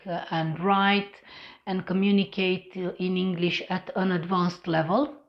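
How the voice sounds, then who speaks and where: A woman's voice: medium pitch, gravelly, highs cut off. A woman with a Romanian accent, Romanian as her native language, hosting an English-learning channel in an unscripted vlog talk, hesitant with many fillers and restarts.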